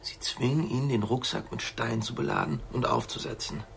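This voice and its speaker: Creaky-voiced, brooding man. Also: medium pitch, steady tone, fairly quick, a bit bright, slight background noise. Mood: troubled. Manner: musing, troubled, colloquial. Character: gentle, brooding man haunted by violent imaginings. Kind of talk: naturalistic radio drama acting.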